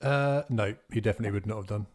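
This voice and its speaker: A man's voice: on the low side, rapid-fire, a little mellow. A man taking part as a player in an improvised tabletop roleplaying game.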